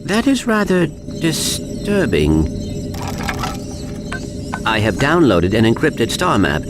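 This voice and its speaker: Casting: small polite robot sidekick, cartoon game. Slow, formal, precise, very sing-song delivery, music behind. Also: male voice, mid-range voice, wildly swooping pitch. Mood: earnest, polite. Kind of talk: video game cartoon acting.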